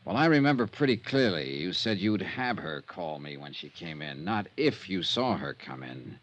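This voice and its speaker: A man's voice: deep voice, big pitch swings, normal speed, fairly bright tone, highs cut off, full character voice. An actor playing a tough, dogged insurance investigator in an old-time radio drama, terse and dry as he narrates and questions. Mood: accusing. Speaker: lead detective and narrator. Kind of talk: hard-boiled 1950s radio detective acting.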